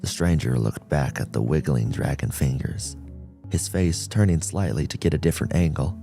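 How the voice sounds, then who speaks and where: Low mellow guy reading a novel out loud, faint music under him. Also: normal speed, creaky. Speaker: audiobook narrator. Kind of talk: scripted fiction narration.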